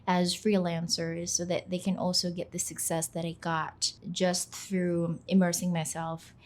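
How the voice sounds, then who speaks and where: A young woman from the Philippines, whose first language is Filipino, chats in a casual voice-over about her work. Her voice sits a little low, and she talks with an easygoing, self-deprecating air, dropping "like" often. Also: little pitch movement, unhurried. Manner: chatty, self-deprecating, peppered with "like". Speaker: YouTuber, channel host. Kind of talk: casual talk to camera. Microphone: voice-over, not on camera.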